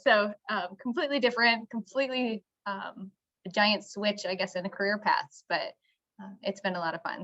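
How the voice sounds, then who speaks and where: A woman's voice: medium pitch, a bit bright, lo-fi sound. A woman who owns a business gives a chatty introduction on a panel, full of fillers. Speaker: business owner.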